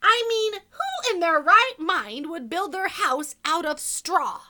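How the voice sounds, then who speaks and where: Woman playing aggrieved male wolf, storybook read-aloud, bright, slow emphatic delivery, self-justifying. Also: very high voice, sing-song, full character voice. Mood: explaining, exasperated. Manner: exaggerated, drawn-out, emphatic storytelling.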